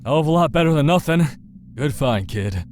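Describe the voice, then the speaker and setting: A man voicing a gruff, blunt older partner in a visual novel. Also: fairly high pitch, animated, fairly quick, darkish tone, soft background music, natural voice. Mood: approving.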